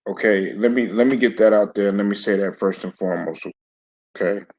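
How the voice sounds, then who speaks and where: A man hosting a casual live sports talk stream, hoarse and raspy from a sore throat, clearing his throat often and talking in a laid-back, stop-start way with many repeated words. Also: fairly low pitch, fairly quick, darkish tone. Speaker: sports commentary channel host. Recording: dull lo-fi home recording.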